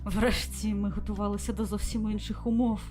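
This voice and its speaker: A woman playing a composed space station commander in a visual novel game, logging mission reports in a formal, measured way. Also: medium pitch, level tone, mellow, close to an everyday voice. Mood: resolute, concerned. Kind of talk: scripted visual novel voice acting, log narration. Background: music.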